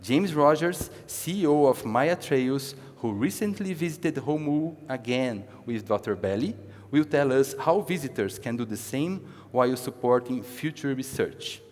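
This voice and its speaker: A man's voice: mid-range voice, expressive, a little slow, a little mellow. Man formally introducing a speaker. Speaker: event host introducing speaker. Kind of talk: read introduction. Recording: reverberant hall.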